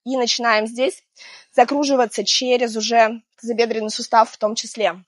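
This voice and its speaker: A woman in her thirties with a slightly high voice, a little hoarse from being ill. She talks through a foam-roller routine in a chatty, gentle manner. The sound is close and dry, recorded on a clip-on mic outdoors. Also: little pitch movement, normal speed, dry recording. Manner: chatty, gentle, laughs between cues. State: hoarse from illness. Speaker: fitness instructor. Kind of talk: guided exercise instruction. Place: outdoors on a seaside promenade.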